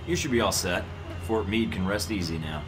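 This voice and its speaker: An actor with an American accent playing a cocky, wisecracking young secret agent in a video game, dry and smooth in manner. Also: male voice, deep voice, moderate pace, noisy background, natural voice. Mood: bluffing, casual. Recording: music behind the voice.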